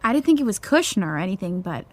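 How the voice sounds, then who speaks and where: A woman's voice: medium pitch, sing-song, quick pace. A woman playing an unflappable, teasing young friend with a wry, casual, soothing manner in an audio comedy-drama.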